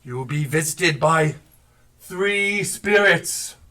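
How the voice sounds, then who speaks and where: A man on stage playing the tormented, mournful and stern ghost of a dead businessman, declaiming theatrically. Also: fairly high pitch. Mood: solemn warning. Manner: slow, declamatory, breaking into anguished shouts and wails. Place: theatre stage, recorded live. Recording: distant live stage play recording.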